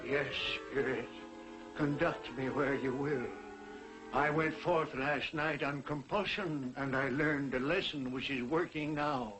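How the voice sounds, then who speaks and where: An actor playing a fearful, remorseful old miser in theatrical, pleading 1940s television drama style. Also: male voice, mid-range voice, steady tone, measured pace, natural voice, low-quality recording. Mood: humbled. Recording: old 1940s television soundtrack, loud music behind.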